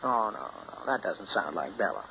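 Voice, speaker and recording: A man's voice: low voice, very animated, normal speed, vocal fry, exaggerated voice. An actor playing a blunt, misanthropic man with a hesitant yet insistent manner, in 1930s radio drama style. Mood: bleak, insistent. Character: Sid, blunt misanthropic son of eccentric inbred family. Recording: muffled noisy 1939 radio broadcast.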